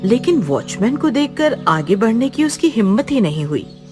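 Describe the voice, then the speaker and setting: A woman's voice: on the high side, big pitch swings, moderate pace, over music. A woman reading scripted horror story narration in a dramatic, suspenseful manner.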